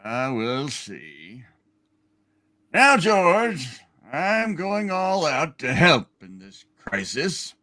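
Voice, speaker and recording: A man playing a scheming, smug old banker in a hammed-up radio play reading, deliberate and menacing. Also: fairly high pitch, big pitch swings, pushed and bright, exaggerated voice.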